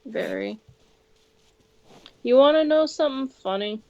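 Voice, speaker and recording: High-voiced young woman streaming casually. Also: expressive, unhurried, creaky, darkish tone. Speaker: game streamer. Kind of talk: casual livestream gameplay chat. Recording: dull, lo-fi microphone sound.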